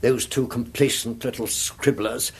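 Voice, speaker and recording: A man's voice: medium pitch, fairly even intonation, unhurried, a little mellow, husky. A man playing a supercilious, needling English academic law tutor in a radio drama, with a snide, donnish manner.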